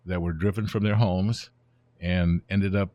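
This is a man in his seventies with a very deep voice, whose first language is Ukrainian. He tells history in a relaxed, storytelling way, and his voice often trails off softly at the ends of phrases. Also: measured pace, dry recording, dull audio. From born in Germany, raised in the United States. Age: 70s. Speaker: guest. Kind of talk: conversational interview, explaining history.

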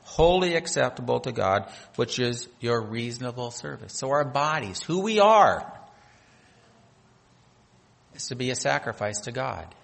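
Guy from north dakota giving a sermon in a church hall, long echo, gets loud on the big lines. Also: medium pitch, big pitch swings, a little slow, creaky. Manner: preaching with swells of emphasis and scripture reading. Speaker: pastor.

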